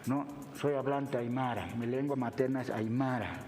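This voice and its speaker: A man's voice: mid-range voice, steady tone, normal speed, darkish tone, in a large hall, faint music behind. A man with a Bolivian accent, a university lecturer explaining things didactically as an interview guest, punctuating his sentences with tag questions. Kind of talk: explanatory television interview talk.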